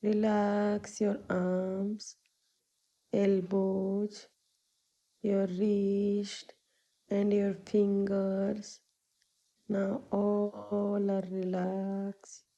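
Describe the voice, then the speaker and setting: A woman guides a meditation in a very soft, dark and relaxed voice. She speaks extremely slowly, leaving long pauses between short instructions. Her delivery stays level and soothing throughout. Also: mid-range voice. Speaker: meditation guide, yoga teacher.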